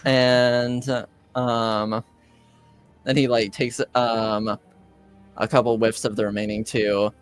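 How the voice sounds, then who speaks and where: A man improvising narration as game master of a tabletop roleplaying game, halting as he searches for words. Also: mid-range voice, level tone, clear, resonant tone, soft background music.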